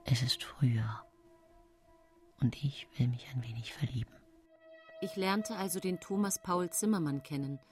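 A woman's voice: lowish voice, a little mellow, lightly acted. An actress playing a destitute, proud and bitter middle-aged woman confessing in an intimate, theatrical radio play narration. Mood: wistful, calculating. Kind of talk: dramatic first-person radio play narration.

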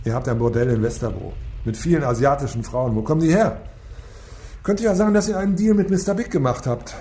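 Man playing a mellow-toned, brusque police inspector. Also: medium pitch, fast talker, lightly acted. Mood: probing, stern. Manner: brusque, sarcastic, commanding. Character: impatient, sarcastic male police inspector leading a murder case. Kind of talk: naturalistic radio crime drama acting.

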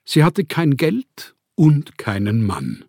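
An actor playing a proud, melancholy, rootless writer who speaks deliberately and reflectively in a radio play. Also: male voice, a little high, huge pitch swings, creaky, darkish tone, no room sound, studio quality, heavily acted. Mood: wistful, reflective. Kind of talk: autobiographical radio play monologue.

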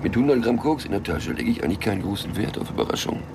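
An actor playing a cynical, restless small-time drug hustler in a radio crime drama, sardonic and brooding in wry asides. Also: male voice, lowish voice, expressive, racing, background noise. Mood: musing.

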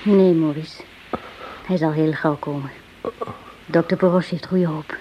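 An actress playing a gentle, worried widow who nurses her wounded brother in a radio drama. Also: low-pitched, fairly even intonation, a little slow, highs cut off. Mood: soothing. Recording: noisy old radio drama recording.

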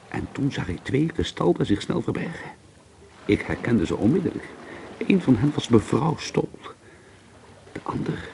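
An actor playing a brooding, secretive alcoholic man who narrates a radio drama in a measured, dramatic way. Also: lowish voice, vocal fry, a little mellow, slight background noise, full character voice. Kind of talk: radio drama acting with first-person narration.

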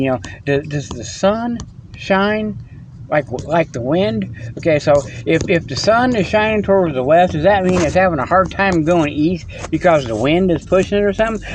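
A man's voice: high voice, noisy background. A man hosting a rambling comic monologue on a phone, chuckling often and full of fillers and restarts, playful and teasing. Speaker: host.

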